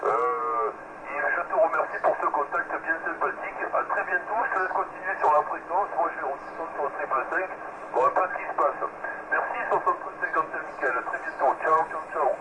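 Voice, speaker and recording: A man's voice: on the high side, brisk. A man from France chatting casually as an amateur radio operator, heard over a two-way radio transmission. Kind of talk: casual radio contact chat. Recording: noisy two-way radio signal. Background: radio noise.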